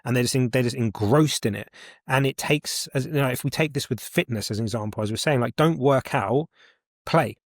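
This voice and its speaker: A man in his forties hosts a podcast, talking in an eager, emphatic way and often repeating a point to drive it home. His voice sits at an average pitch for a man. The recording is dry and close, with no room echo. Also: a little fast. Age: 40s. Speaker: podcast host. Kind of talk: casual podcast conversation.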